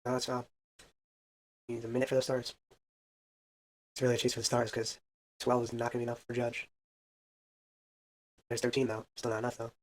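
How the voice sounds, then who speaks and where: A man's voice: medium pitch, completely flat delivery, fairly quick, pauses often. A man casually commentating a baseball video game, counting hits aloud and adding self-deprecating asides. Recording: faint background music.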